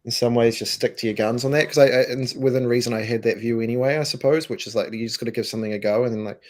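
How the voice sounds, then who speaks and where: A croaky-voiced man from New Zealand, a company co-founder, talking emphatically and casually with many restarts. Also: medium pitch, fairly even intonation, racing. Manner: emphatic, repeats words, restarts. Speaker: co-founder and co-CEO, guest. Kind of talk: casual interview answers.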